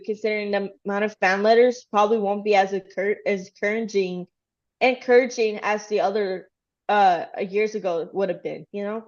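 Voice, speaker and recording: Chatty woman on a dull lo-fi mic. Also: medium pitch. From Texas. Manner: chatty, rambling, lots of fillers. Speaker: fan channel host. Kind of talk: casual recap of a livestream.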